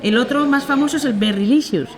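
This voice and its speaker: A woman's voice: sits high, a little fast. Woman explaining, crowd behind. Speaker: small business co-owner, guest. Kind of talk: casual podcast conversation.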